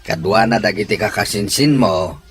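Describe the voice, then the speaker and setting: A man voicing a middle-aged father who speaks calmly to his wife and daughter. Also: on the low side, normal speed, soft background music, natural voice.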